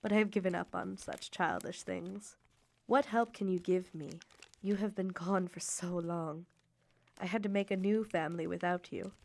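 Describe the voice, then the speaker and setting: Young 1850s mother, reproachful, gravelly fry, measured period diction, radio drama. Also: on the low side, steady tone, a little mellow. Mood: reproachful, resigned. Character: young Victorian mother, wounded, reproachful little sister. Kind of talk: letter-reading radio drama acting.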